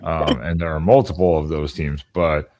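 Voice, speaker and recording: A man's voice: very deep voice, fairly even intonation, moderate pace, a little mellow. A man hosting a live show in a casual, rambling, joking way. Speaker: host. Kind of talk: casual chat.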